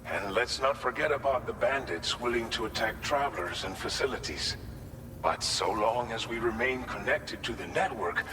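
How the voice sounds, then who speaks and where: A man's voice: mid-range voice, natural voice. Man acting an earnest settlement official, noisy ambience behind. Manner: polite, slightly hesitant, earnest. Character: earnest, grateful man running a post-apocalyptic settlement facility. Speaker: settlement facility official in a video game. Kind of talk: video game cutscene acting.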